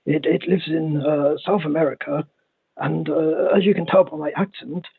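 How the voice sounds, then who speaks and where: High, gravelly man on a tinny phone line. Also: fairly even intonation. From Spain. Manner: halting, repetitive, stammering. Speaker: caller. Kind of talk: rambling comedic call-in.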